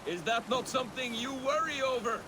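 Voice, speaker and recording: A man's voice: extremely high pitch, expressive, brisk, noisy background. An actor playing a blunt, crude but loyal Viking crewman in a video game, sardonic and needling. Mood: scolding. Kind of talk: video game cutscene acting.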